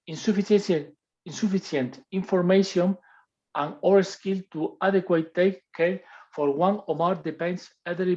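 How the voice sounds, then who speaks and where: A Spanish-accented woman with a lowish, mellow voice reads a prepared list as a panelist in an online workshop. She stumbles and restarts over English words. The audio is muffled and lo-fi, as on a video call. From Spain.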